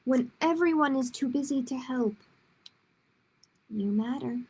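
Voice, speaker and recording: A woman's voice: high-pitched, a little slow, darkish tone, breathy edge. A woman hosting a children's storytime, reading picture books aloud in simple phrases with casual asides to her listeners. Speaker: children's librarian, storytime host.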